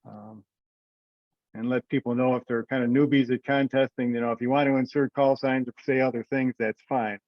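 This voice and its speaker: A man's voice: medium pitch, fairly even intonation, a little fast, no echo. A man leading an informal discussion on a remote conference call. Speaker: meeting leader. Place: remote teleconference. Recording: muffled conference call audio.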